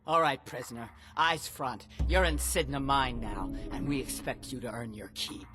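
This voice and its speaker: An actor playing a harsh, bullying prison mine overseer who barks orders in a fantasy game. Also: male voice, mid-range voice, moderate pace, pushed and bright, faint music behind, close to an everyday voice. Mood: stern, bullying. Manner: barking, commanding.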